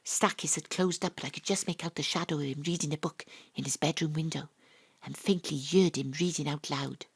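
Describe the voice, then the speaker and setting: A woman's voice: deep voice, fairly even intonation, fairly quick, gravelly, breathy edge, heavily acted. A woman playing a wry, principled young detective with a Welsh accent, narrating and acting in an audio drama. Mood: confiding, wry.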